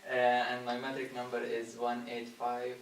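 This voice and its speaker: A young man from Egypt speaks hesitantly in a group discussion, pausing often. His pitch stays flat and even. He sounds far off in a roomy space with a faint hiss. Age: young adult. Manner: hesitant, many pauses. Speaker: chemical engineering student. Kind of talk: casual group introduction.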